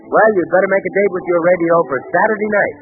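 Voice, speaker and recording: A man's voice: high voice, quick pace. A male radio announcer reading scripted closing announcements in a 1930s broadcast style, on an old recording. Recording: tinny 1939 radio recording with music.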